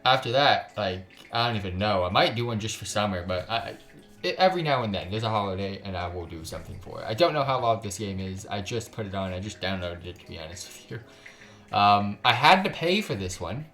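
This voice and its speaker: A man's voice: fairly low pitch, lively intonation, brisk, fairly bright tone. A man live-streaming a game, chatting casually in a joking, sweary, slangy way and reading text aloud with stumbles.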